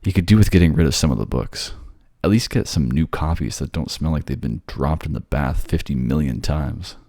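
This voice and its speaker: A man's voice: rumbling low, fairly quick, darkish tone, dry recording, studio quality. A man playing a bored, sardonic ghost who haunts a library, snarky and conversational in an ASMR audio roleplay. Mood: irritated, snarky. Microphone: close, dry microphone.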